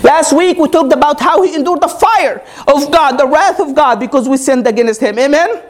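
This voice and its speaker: A woman's voice: sits high, moderate pace. A woman whose first language is Arabic, preaching a teaching sermon, often asking her congregation "Amen?" and explaining step by step. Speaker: pastor.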